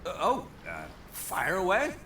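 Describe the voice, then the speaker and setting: An actor playing a brash, boastful, big-hearted young oni gang boss in a video game, with a swaggering, slangy, theatrical delivery. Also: male voice, sits high, big pitch swings, measured pace, slight background noise, full character voice. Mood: flustered. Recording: roomy, distant game capture under loud music.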